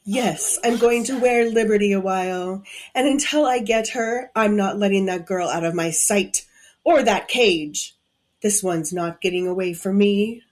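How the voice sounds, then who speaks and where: A woman's voice: mid-range voice, a little slow, lightly acted. A woman acting as a haughty, gloating villainess in an audio drama, taunting and imperious. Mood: cruel glee. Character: haughty, gloating female villain, cruel body-borrowing hotel owner.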